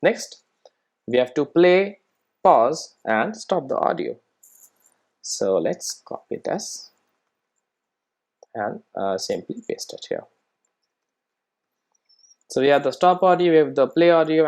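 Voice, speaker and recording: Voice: medium pitch, big pitch swings, unhurried, pauses often, darkish tone. A tutorial presenter with a mid-range voice walks through programming steps in an informal way. The delivery sounds like thinking aloud while working, with short questions tossed to the listener to check they are following. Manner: checks in with rhetorical questions and tag "right?".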